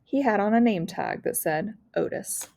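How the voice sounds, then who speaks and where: A woman's voice: medium pitch, lively intonation, moderate pace, a little mellow. A woman narrating a children's novel aloud, as a teacher reads to a class.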